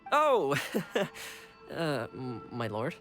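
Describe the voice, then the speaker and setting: A loyal young retainer talks politely to his lord with hugely animated intonation. He sounds amiable and expressive, with game music clearly behind him. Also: mid-range voice, very slow, heavily acted. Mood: flustered. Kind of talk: video game voice acting.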